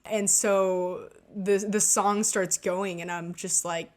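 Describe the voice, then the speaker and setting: A woman's voice: medium pitch, steady tone, slow. Woman telling a self-mocking comic story. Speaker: gaming vlogger. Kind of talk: casual storytime narration over gameplay.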